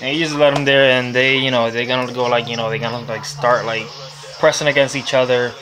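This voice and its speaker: A man's voice: mid-range voice, fairly even intonation, a little fast, low-quality recording. Loose-talking Puerto Rican young man. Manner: loose and rambling, with laughs and swearing. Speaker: reptile breeder and vlogger. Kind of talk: casual how-to vlog. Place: indoor reptile room lined with storage tubs. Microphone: phone held close at arm's length.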